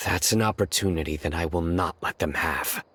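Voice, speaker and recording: A grave young enforcer in a story-driven game, his voice sitting very low and firm. He speaks in a measured, resolute way, promising to handle danger himself. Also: a bit bright, soft background music. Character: grave young man, stern enforcer of justice. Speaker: stern desert lawman. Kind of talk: game dialogue acting.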